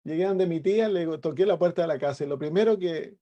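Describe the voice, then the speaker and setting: A middle-aged man with a Chilean accent speaks as an evangelist pastor giving his testimony. His voice is slightly high for a man, with a dark, relaxed tone. He tells his story conversationally, pausing now and then as he searches for words. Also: muffled recording. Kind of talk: personal testimony.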